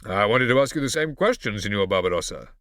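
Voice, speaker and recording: A cocky teenage boy putting on a haughty, condescending grown-up manner, as a man reads him. His tone is bright and sharp, with lively rises and falls. The sound is dry and close. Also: fairly low pitch, fast, dry recording. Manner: condescending, smooth. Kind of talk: audiobook character voice.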